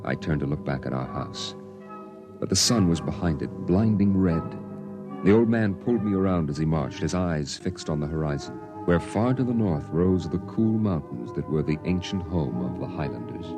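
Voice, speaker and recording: A man narrating in a measured, somber way as the grown boy recalls the story, in 1950s radio drama style, from an old broadcast with music beneath. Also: rumbling low, animated.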